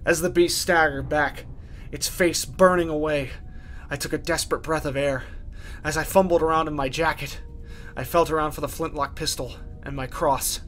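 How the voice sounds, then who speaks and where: A man narrating a first-person horror story in a theatrical way, with deliberate pauses. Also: fairly high pitch, steady tone, fairly bright tone. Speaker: writer and narrator. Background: music.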